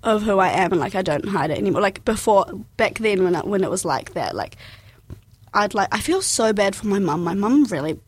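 A woman's voice: mid-range voice, lively intonation, fairly quick, slightly rough. A young woman with a New Zealand accent whose first language is Arabic, talking casually and filling her sentences with "like". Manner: rambling, fills with "like" constantly. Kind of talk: casual personal chat.

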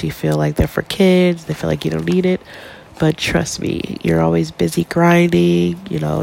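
A woman's voice: lowish voice, vocal fry, a little mellow, rough recording. A young woman hosting her own podcast while congested from a cold, chatting casually and encouragingly with plenty of ums. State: congested with a cold, stuffy nose. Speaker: podcast host. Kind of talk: casual solo podcast advice.

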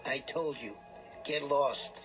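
A man's voice: medium pitch, slow pace, over music, close to an everyday voice. An actor playing a sour, combative drinker in his thirties in a radio drama, surly and sarcastic. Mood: surly, defiant. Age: 30s.

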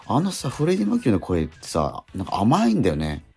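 A middle-aged man hosting a radio show in casual, animated banter. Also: mid-range voice, quick pace, vocal fry, slightly rough, faint music behind. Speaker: radio show host and stage actor.

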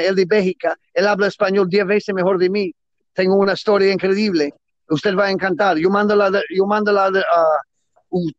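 A middle-aged man who is not a native Spanish speaker gives closing remarks as a guest, talking with eager enthusiasm in halting Spanish full of grammar slips. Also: high-pitched, dry recording, highs cut off. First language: not Spanish; Spanish is his second or third language. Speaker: guest, cigar businessman. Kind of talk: closing remarks in a casual interview.

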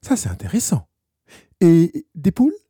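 A man voicing a lonely, wistful fox in a storybook, explaining gently in simple phrases. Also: on the high side, wildly swooping pitch, unhurried, breathy edge, no room sound, studio quality, voice pushed up, full character voice. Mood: wistful, hopeful. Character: wistful, lonely wild fox longing to be tamed.

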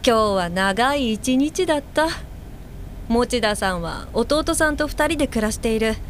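High-voiced, earnest woman, acted out by a woman. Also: animated, unhurried, slight background noise. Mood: pensive, regretful. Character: earnest, self-reflective woman working as a blind man's guide helper. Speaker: lead actor and narrator. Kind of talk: audio drama acting with first-person narration.

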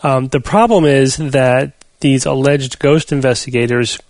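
A man hosting a podcast and leading a casual panel discussion in a measured, explanatory way. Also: mid-range voice, fairly even intonation, a little mellow, no echo. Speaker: podcast host.

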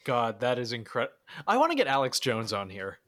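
A man with a Canadian accent hosting a podcast in a casual, halting, blunt and self-deprecating way. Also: mid-range voice, huge pitch swings, a bit bright, no echo, clean studio sound. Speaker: podcast host. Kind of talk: casual chat.

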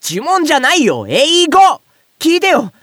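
A woman playing an excitable, scatterbrained young boy who complains loudly in an audio drama. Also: high-pitched, unhurried, dry recording, clean studio sound, heavily acted. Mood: indignant, baffled. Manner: exclamatory, rambling comic complaints. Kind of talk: audio drama acting with first-person narration.

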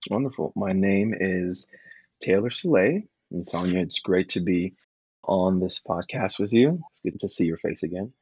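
A man from Liberia, a podcast guest, talking in an earnest, measured way about grief and healing. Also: sits low, darkish tone, breathy edge, dry recording. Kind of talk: reflective interview talk. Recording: dull lo-fi call audio.

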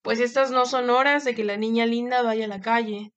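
A woman's voice: fairly high pitch, fairly even intonation, normal speed, close to an everyday voice. A woman voicing a polite adult manservant who speaks formally and deferentially. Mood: courteous.

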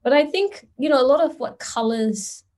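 A middle-aged woman from Singapore, a guest answering interview questions in a thoughtful, measured way, often adding "you know". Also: high voice, animated, a little mellow. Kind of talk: conversational interview.

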